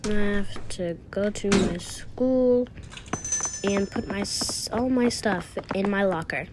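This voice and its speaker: A woman's voice: medium pitch, takes it slowly, background noise. A woman casually improvising narration for a toy-app roleplay video, thinking aloud through each small action. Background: music.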